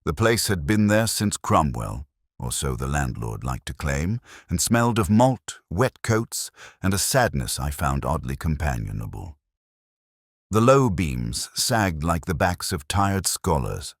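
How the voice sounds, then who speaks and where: A man with an extremely low voice reads first-person detective fiction as a pensive, world-weary inspector. His delivery is measured and melancholy, rising and falling expressively, in a dry, close recording. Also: very animated, dry recording. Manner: measured, reflective, melancholy storytelling. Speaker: narrator of a detective audio story. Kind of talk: literary first-person scripted narration.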